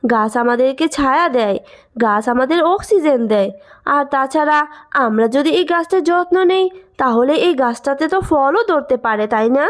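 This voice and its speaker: A woman's voice: high-pitched, expressive, normal speed. A woman voicing a kind, patient young village widow and mother in a cartoon moral story, speaking earnestly. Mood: earnest pleading. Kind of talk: animated moral story acting.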